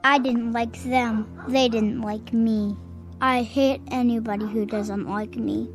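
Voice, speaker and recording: High-voiced petulant, menacing little boy. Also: steady tone, slow, soft background music. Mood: sulky, menacing. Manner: childish, blunt, sulky. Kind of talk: radio drama acting.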